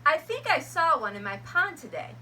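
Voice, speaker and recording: Woman teacher reading a picture book out loud, high bouncy voice, bright tone. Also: normal speed, a little hiss or hum. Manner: gentle, expressive storybook reading.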